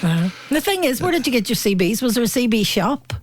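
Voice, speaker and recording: A woman's voice: on the high side, sing-song, brisk, slight background noise. A woman with a Northern Irish accent, chatting casually as a co-host. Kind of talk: casual chat.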